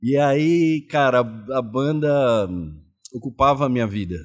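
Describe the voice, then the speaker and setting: A man in his forties with a Brazilian accent and an average-pitched voice. He talks casually as a guest, in informal, slangy language, as if among friends. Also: a little slow. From Salvador, Bahia, Brazil; moved to São Paulo state as a child. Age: 40s. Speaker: interview guest. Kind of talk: casual chat.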